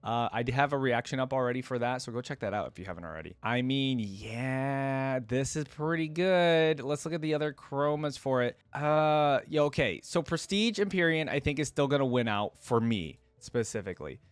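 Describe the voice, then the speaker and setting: A man's voice: medium pitch, animated, moderate pace, hardly any pauses, clean tone, dry recording. A man speaks as the host of a reaction video, with a mid-range voice and a conversational delivery. He offers his opinions casually and addresses his audience directly. The sound is dry, with hardly any room in it. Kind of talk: casual reaction commentary, partly reading a wiki aloud.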